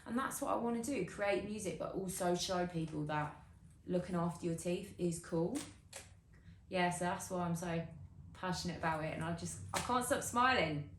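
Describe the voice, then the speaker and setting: A woman's voice: lowish voice, moderate pace, natural voice. Woman acting a chatty young musician, echoey room. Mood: earnest, passionate. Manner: chatty, slangy, earnest. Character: upbeat, zealous young British musician obsessed with perfect teeth. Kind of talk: monologue performed as a casual interview. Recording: roomy echo, background noise.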